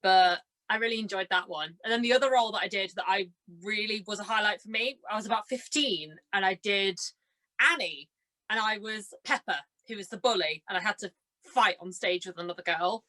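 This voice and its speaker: A young woman chatting casually as a podcast guest, telling anecdotes in a gushing, excitable way. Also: medium pitch, a little fast, poor audio. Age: young adult. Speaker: podcast guest, amateur actress.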